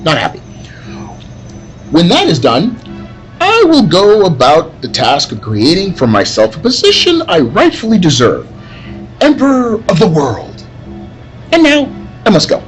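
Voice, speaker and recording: A man's voice: high voice, wildly swooping pitch, unhurried, pauses often, faint music behind, heavily acted, rough recording. A man acting a grandiose, gloating villain bent on ruling the world, in an old-time radio drama style. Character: male megalomaniac mad villain seeking world domination.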